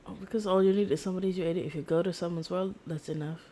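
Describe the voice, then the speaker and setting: A woman's voice: fairly low pitch, steady tone, very fast, mellow, slight background noise. A woman streamer chatting casually while gaming, in a rambling, giggly way.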